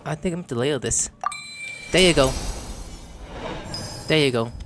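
A man's voice: on the high side, measured pace. A man hosting a gaming video, muttering and thinking aloud in casual commentary. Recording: loud game music behind the voice.

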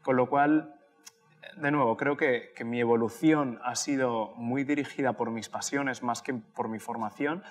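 A man's voice: medium pitch, steady tone, a little slow. Man, thirties, from Barcelona, interview guest, casual, restarts often, laughs easily. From Barcelona, Spain. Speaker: interview guest, tech executive. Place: indoor office lounge.

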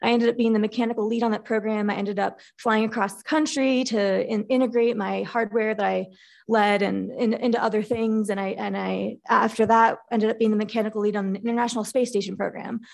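A woman's voice: mid-range voice, fairly even intonation, a little fast, creaky, darkish tone. A woman engineer on a panel talking candidly about her workplace, earnest, with frequent fillers.

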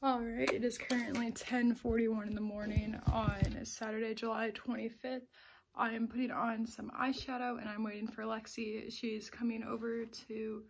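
A woman's voice: on the high side, vocal fry, a little mellow, dry recording. A young woman chatting to her phone camera as she narrates her day, giggly and casual. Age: young adult. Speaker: vlogger. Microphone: phone held at arm's length.

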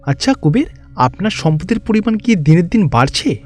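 A man voicing a calm, gracious great god, speaking courteously. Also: sits high, moderate pace. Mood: serene.

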